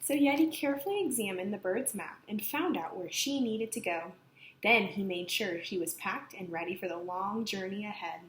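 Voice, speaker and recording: A woman reading a children's picture book aloud with expressive, dramatic emphasis. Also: mid-range voice, normal speed. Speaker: storybook reader. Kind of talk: animated children's read-aloud narration. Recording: echoey room, slight background noise.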